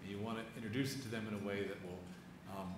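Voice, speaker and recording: A man speaking haltingly and deliberately as he moderates a live onstage interview. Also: lowish voice, fairly even intonation. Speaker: moderator interviewing an author on stage. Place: live event venue. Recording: echoey hall with clear background noise.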